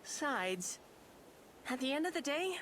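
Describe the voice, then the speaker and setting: Cocky, animated boy inventor. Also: sits high, unhurried, slight background noise, heavily acted. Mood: earnest, fired up. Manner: brash, casual, earnest. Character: cocky, upbeat young male inventor kid. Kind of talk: video game voice acting.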